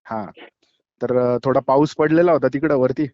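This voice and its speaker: A man's voice: mid-range voice, fairly even intonation, moderate pace, darkish tone, dry recording. A man with a Marathi accent giving an eyewitness account over the phone in a hesitant, conversational way. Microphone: phone call. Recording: dull lo-fi phone call.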